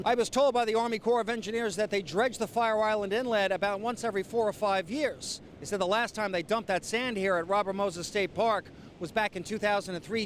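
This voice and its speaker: High-pitched male TV news reporter. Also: a little hiss or hum. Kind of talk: broadcast news reporting, live stand-ups and scripted packages.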